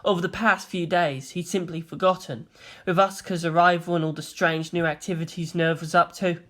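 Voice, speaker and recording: A storyteller reading dramatic scripted fiction in a solemn, expressive way. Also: mid-range voice, a little fast. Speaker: fan fiction narrator.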